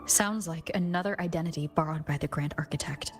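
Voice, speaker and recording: Calm, low-voiced woman wanderer played by an actress. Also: brisk, over music, lightly acted. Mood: calm, pensive. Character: calm, thoughtful female wanderer far from home. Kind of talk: scripted video game dialogue.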